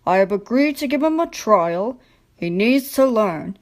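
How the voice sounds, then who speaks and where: An authoritative male railway controller from a children's storybook, voiced by a woman. He gives formal instructions at an unhurried pace. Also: a little high, animated. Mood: businesslike.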